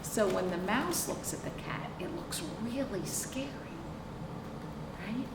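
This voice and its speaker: A middle-aged woman reading a picture book aloud to young children as a librarian, explaining deliberately and asking rhetorical questions. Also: a little high, lively intonation. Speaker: children's librarian hosting storytime. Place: indoor room with sofa. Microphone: camera a few feet away. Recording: echoey, noisy room, distant mic.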